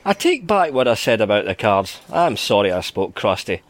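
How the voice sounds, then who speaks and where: Man voicing a crusty, animated devil. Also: on the low side, moderate pace. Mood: pleased. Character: the devil, male, crusty but easily delighted schemer. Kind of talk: storybook character voice in sea yarn.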